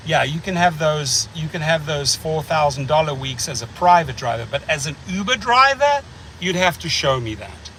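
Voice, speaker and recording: A man's voice: a little high, lively intonation, moderate pace, fairly bright tone, slight background noise. A middle-aged man with a South African accent hosting a casual livestream chat, rambling and blunt, repeating words as he thinks. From United States. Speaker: channel host.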